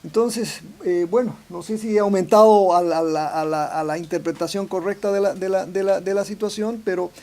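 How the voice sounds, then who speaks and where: A man's voice: high voice, normal speed, hardly any pauses, a little mellow. A man speaking as a professor on a forum panel, hesitant, restarting phrases and tagging sentences with questions. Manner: hesitant, repeats words, adds tag questions. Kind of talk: forum talk on politics.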